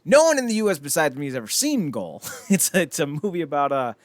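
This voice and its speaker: A man's voice: on the high side, very animated, fast, dry recording. A man chatting casually as a podcast host, rambling, opinionated and freely profane. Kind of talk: casual podcast chat about films and entertainment news.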